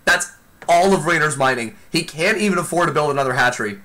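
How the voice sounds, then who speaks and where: A man giving excited live play-by-play commentary on a video game match, exclaiming often, while losing his voice. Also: on the high side. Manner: excitable, exclaims often, talks fast in bursts. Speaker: esports commentator.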